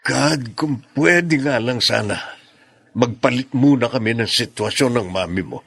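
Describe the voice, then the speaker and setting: A frustrated middle-aged Filipino father in a radio drama. He speaks seriously at a medium pitch, troubled, in a dry studio sound. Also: unhurried, gravelly, fairly bright tone, slightly rough, no room sound, full character voice. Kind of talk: Tagalog radio drama acting.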